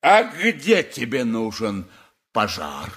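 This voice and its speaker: A menacing, drunken rogue of a sea captain in a radio drama. The delivery is slow and wildly swooping, in a raspy, forceful voice with theatrical relish. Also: male voice, mid-range voice, wildly swooping pitch, exaggerated voice. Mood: gleeful, scheming. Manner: theatrical, swooping, talks to his vodka. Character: boozy, roguish, menacing old-style sea captain. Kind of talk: 1980s radio play acting.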